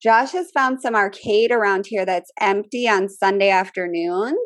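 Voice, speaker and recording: A woman in her thirties with a Midwestern accent, co-hosting a casual chat show, animated and over-enunciating. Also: mid-range voice, moderate pace, no room sound. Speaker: podcast co-host.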